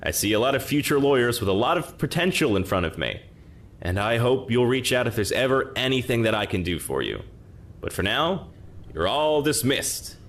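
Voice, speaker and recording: An expressive, dry law professor. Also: male voice, mid-range voice, normal speed. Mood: warm, formal. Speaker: actor in audio comedy drama. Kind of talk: audio comedy drama acting.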